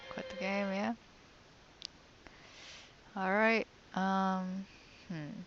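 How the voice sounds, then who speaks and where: A woman's voice: mid-range voice, little pitch movement, very slow, a bit bright, lo-fi sound, faint music behind. A young woman giving casual let's play commentary as a game streamer, often murmuring to herself.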